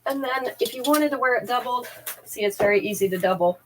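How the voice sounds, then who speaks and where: Woman, slightly high voice, stuffy nose, chatty live seller, detailed descriptions, close camera mic. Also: brisk, a little hiss or hum. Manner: chatty, careful item-by-item descriptions.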